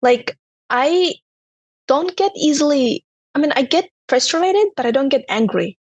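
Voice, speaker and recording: A young woman from Turkmenistan with a Turkmen accent, telling her personal story as an interview guest in a halting way, with many "you know" fillers. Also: sits high, lively intonation, dry recording, muffled recording.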